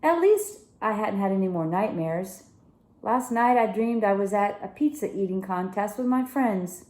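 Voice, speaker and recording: A woman narrates a children's story expressively. Her voice sits at a medium pitch. The recording has a clear roomy echo. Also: expressive, moderate pace, a little mellow. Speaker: story reader.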